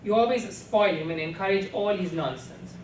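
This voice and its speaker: Man voicing a stern father, accusing, forceful, novel reading, distant roomy recording, faint noise. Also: high-pitched, normal speed, pitched above the performer's own voice, lightly acted. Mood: scolding. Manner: forceful, scolding.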